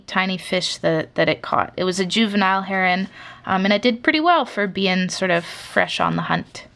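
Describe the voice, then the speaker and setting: A young woman from Canada talks casually through her sketchbook, pitched in an ordinary middle range. She rambles, circles back and fills pauses with little filler words. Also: fairly bright tone. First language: English. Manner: rambling, chatty, many fillers. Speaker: artist showing her sketchbook.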